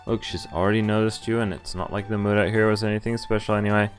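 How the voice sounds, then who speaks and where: A man's voice: lowish voice, flat delivery, fast, soft background music. A man streaming a game, reading dialogue aloud and reacting in a casual, wry, sweary way. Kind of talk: casual let's-play commentary and read-aloud of dialogue.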